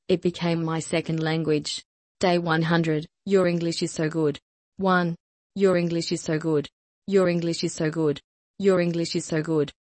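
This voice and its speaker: Slow, dry-voiced woman reading drill lines. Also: low voice, no room sound. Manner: slow, even, repeats each sentence. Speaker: language lesson reader. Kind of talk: scripted repeat-after-me drill.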